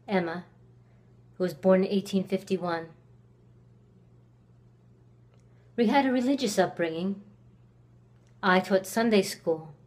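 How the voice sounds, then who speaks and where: A woman performing a dramatic monologue as a cold, reclusive, resentful woman accused of murder in the 1890s, with deliberate pauses. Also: medium pitch, darkish tone, close to an everyday voice. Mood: controlled, brooding. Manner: slow, deliberate delivery with long pauses.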